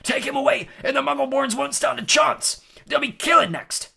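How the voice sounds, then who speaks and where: A man voicing a big, rough, loyal, hot-tempered gamekeeper who speaks bluntly and loudly in a storybook. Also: high-pitched, very animated, a little slow, voice pushed up, exaggerated voice. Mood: desperate, yelling. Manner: blunt, loud, emphatic.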